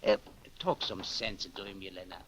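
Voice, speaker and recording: Suave, menacing foreign count. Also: male voice, low voice, very animated, brisk, noisy background. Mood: smoothly condescending. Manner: formal, smooth, condescending. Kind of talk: 1940s radio acting.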